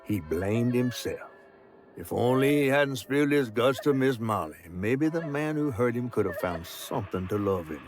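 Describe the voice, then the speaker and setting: A folksy Southern storyteller played by a male actor narrates a dark, sorrowful game tale. His phrasing is drawn-out and dramatic, at a middling pitch, with music clearly running underneath. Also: expressive, close to an everyday voice. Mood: somber, folksy.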